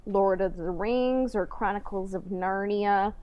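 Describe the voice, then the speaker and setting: A woman's voice: mid-range voice, a little slow, dull audio. A woman co-hosting a podcast, chatting casually in hesitant, trailing phrases. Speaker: podcast co-host. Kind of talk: casual chat.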